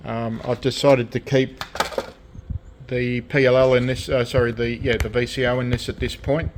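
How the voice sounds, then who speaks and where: Halting Australian man over background noise. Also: mid-range voice, level tone. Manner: halting, hesitant, piecemeal phrasing. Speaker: radio restorer presenting own collection. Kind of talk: casual hands-on show-and-tell commentary. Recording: clear background noise, hobby video.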